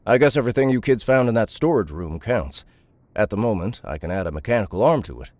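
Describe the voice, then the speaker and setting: An actor playing a gruff, confident mechanic in an audiobook drama, talking in a blunt, wry, offhand way. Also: male voice, on the low side, expressive, fast talker, a little mellow, no room sound, close to an everyday voice. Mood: thoughtful, casual.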